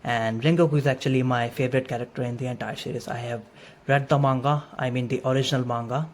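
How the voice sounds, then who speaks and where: A man whose first language is not English, casually showing his manga collection in an unhurried, friendly way and dropping Japanese terms into his English. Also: mid-range voice, fairly even intonation. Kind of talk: casual show-and-tell overview. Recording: faint noisy room ambience. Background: faint background noise.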